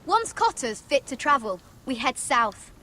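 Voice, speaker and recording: High-pitched, sharp-tongued wildling sister. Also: female voice, very animated, measured pace, a little hiss or hum, full character voice. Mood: stern, distrustful. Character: blunt, suspicious, protective free-folk sister. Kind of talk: dramatic video game acting.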